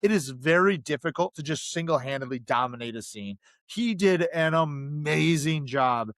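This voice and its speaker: A man's voice: on the high side, expressive, moderate pace, a bit bright, dry recording, clean studio sound. A man co-hosting a casual film discussion podcast, talking emphatically and repeating phrases for stress. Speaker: podcast co-host.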